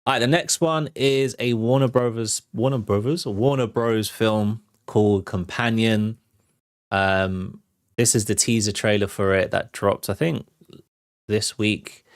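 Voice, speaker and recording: Low-voiced British man, casual commentary. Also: steady tone, a little slow, no echo, clean studio sound. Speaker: host of a movie trailer reaction show. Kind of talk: casual trailer reaction commentary.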